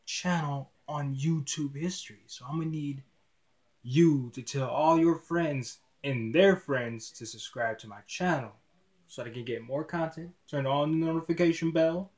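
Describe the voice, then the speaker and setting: A man of twenty vlogging casually to his camera in a dim small room, rambling and restarting his words often, with relaxed slang. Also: a little high. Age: 20s. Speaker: YouTuber. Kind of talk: casual vlog talk to camera.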